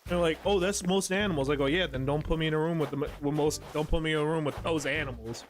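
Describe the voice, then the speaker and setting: A man's voice: on the high side, quick pace, soft background music. A man streaming a game, chatting casually with his chat, rambling and joking with lots of swearing. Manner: rambling, profane, jokey storytelling.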